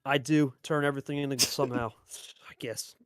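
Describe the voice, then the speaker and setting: A man's voice: medium pitch, fairly even intonation, a little fast, clear, resonant tone, no echo, studio quality. A man hosting and commentating on a card game match, hyping it up and repeating phrases for emphasis. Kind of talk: live play-by-play commentary and player interviews.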